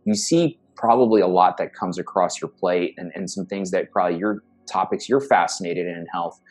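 A man's voice: low-pitched, brisk, breathy edge, soft background music. A man hosting a health podcast, enthusiastic and rambling, often repeating words as he asks questions. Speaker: podcast host. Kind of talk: hosting an interview.

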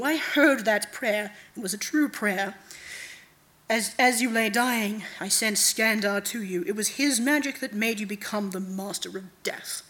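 A woman voicing a cold, manipulative female night god in a deliberate, commanding manner, as in a fantasy audiobook. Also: a little high, fairly bright tone, lightly acted.

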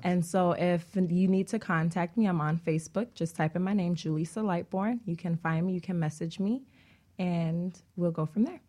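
A woman's voice: on the low side, normal speed, warm tone. A woman speaking as a guest on a casual talk show, in a conversational interview style. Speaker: talk show guest, non-profit founder.

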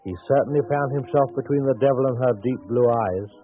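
An actor narrating a 1940s radio suspense drama as a wry, sinister storyteller, deliberate and sardonic. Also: male voice, medium pitch, lightly acted. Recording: tinny 1940s radio recording over music.

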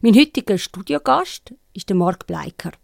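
A woman's voice: medium pitch, expressive, normal speed, creaky, darkish tone, no room sound, clean studio sound. A woman with a Swiss German accent hosting a conversational interview. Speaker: radio interview host.

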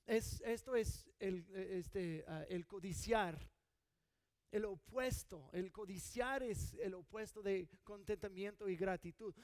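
A man's voice: high voice, a little mellow, dry recording. A middle-aged man from California preaching a sermon in an earnest, conversational way, often restarting his sentences. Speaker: pastor.

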